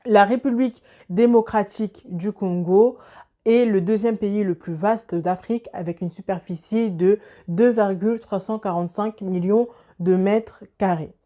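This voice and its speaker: Mellow-voiced woman presenting an explainer. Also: medium pitch, a little slow. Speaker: lifestyle video host.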